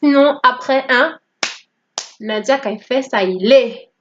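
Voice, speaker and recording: A woman's voice: high voice, measured pace, highs cut off, a little hiss or hum. A woman in her thirties from Guadeloupe, whose first language is English, telling her story in a playful, joking vlog. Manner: playful, joking, code-switching. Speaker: vlogger. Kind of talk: casual testimony vlog.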